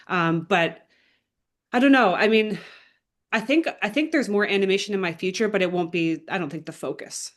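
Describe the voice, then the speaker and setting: A woman speaks as an interviewed filmmaker, with a mid-pitched voice. Her delivery is casual and earnest, like someone explaining her creative work in conversation. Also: moderate pace. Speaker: animator and filmmaker being interviewed.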